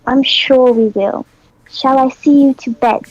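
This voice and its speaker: A man's voice: very high-pitched, fairly even intonation, a little slow, muffled recording. A man voicing a formal, composed young woman in a visual novel, with old-fashioned phrasing.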